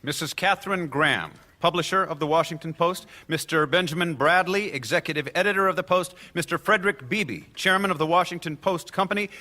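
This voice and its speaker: A man's voice: fairly high pitch, a little fast, hardly any pauses, sharp tone. A man playing a confident, wry defense lawyer in a courtroom radio drama, formal and articulate. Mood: formal, courteous.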